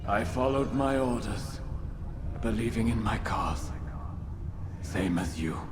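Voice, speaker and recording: A man's voice: lowish voice, animated, slow, background noise. A man playing a dying, disillusioned crusader in a video game, proud and bitter, with a weakened delivery. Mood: bitter, defiant. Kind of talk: dramatic video game cutscene acting.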